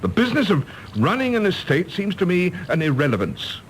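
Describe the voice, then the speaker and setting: A man's voice: high-pitched, sing-song, a little fast, slight background noise. A man with an English accent playing an irritable, pompous diplomat son in a radio drama, clipped and formally polite. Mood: aggrieved, aloof. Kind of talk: stage-play radio drama acting.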